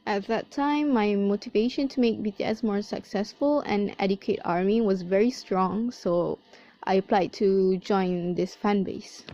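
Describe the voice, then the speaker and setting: A woman's voice: medium pitch, unhurried, dark tone. Eighteen-year-old woman, dull lo-fi audio. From Malaysia. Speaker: fan base co-admin. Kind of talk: interview answers.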